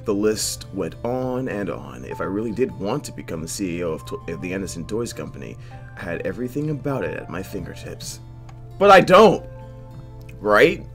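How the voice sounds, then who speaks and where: The low-voiced guy on a gaming channel, playing through a visual novel and reading each line with animated swings over soft background music. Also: fairly quick. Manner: expressive reading with stumbles and joking asides. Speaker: host of a video game playthrough. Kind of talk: let's play, reading visual novel narration aloud with off-hand comments.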